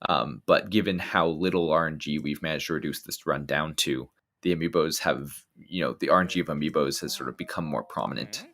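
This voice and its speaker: A man giving live speedrun commentary, explaining routes in a relaxed way with frequent ums and restarts. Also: very deep voice, fairly quick, hardly any pauses.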